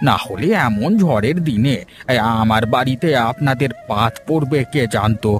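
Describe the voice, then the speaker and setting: A man plays a hospitable old village grandfather in a cartoon. He talks in a folksy, reassuring way, with clearly audible music behind him. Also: mid-range voice, normal speed, lightly acted. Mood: warm, insistent. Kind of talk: cartoon voice acting.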